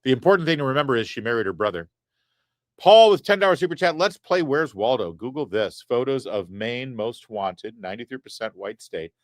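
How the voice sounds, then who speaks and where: Male stream host, mid-pitched, wry and chatty, dry close studio sound. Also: lively intonation, dry recording. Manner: chatty, wry, quick asides. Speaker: talk show host reading viewer super chats. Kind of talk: casual live-stream chat. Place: studio set.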